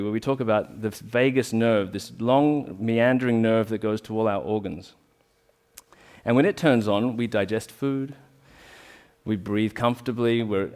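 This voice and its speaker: Man, lowish voice, earnest informal talk, restarts sentences. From Australia. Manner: earnest, reflective, with restarts and fillers. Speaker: talk speaker, rolfer.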